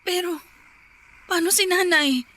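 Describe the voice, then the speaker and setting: A woman's voice: very high-pitched, sharp tone, exaggerated voice. An actress playing a conflicted young single woman in a forbidden romance, speaking hesitantly in Filipino radio drama style. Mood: anxious, conflicted. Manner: hesitant, halting, guilty.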